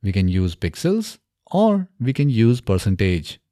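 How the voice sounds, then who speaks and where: A man in his thirties presents a software walk-through in a slightly low, soft-edged voice. His intonation rises and falls a lot as he moves methodically from step to step. The sound is close and dry, from a studio microphone. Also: very animated, a little slow, dry recording. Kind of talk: step-by-step screen tutorial narration. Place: small indoor home studio. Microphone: close studio microphone on boom arm.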